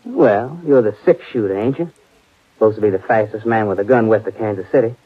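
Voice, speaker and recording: A surly young cowhand. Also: male voice, lowish voice, fairly quick, slightly rough. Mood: sullen. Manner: surly, drawling, rural grammar. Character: sullen, touchy young cowhand, male. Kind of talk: 1950s radio drama acting. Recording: tinny old radio broadcast.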